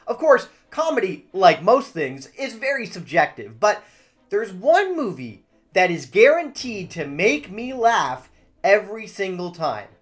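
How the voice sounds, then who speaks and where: Animated video essay narrator, wry delivery. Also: mid-range voice, a little slow. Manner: wry, jokey asides.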